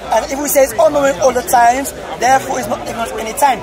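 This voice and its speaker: A man's voice: very high-pitched, steady tone, quick pace. A young man, not a native English speaker, questioning insistently step by step in a street debate in a crowded park. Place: crowded city park outdoors. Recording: loud crowd noise outdoors. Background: crowd chatter.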